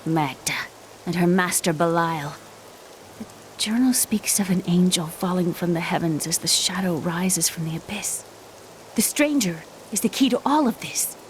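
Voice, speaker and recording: A woman's voice: on the low side, expressive, moderate pace, a little hiss or hum, close to an everyday voice. An actress playing an earnest, worried young woman with hidden powers.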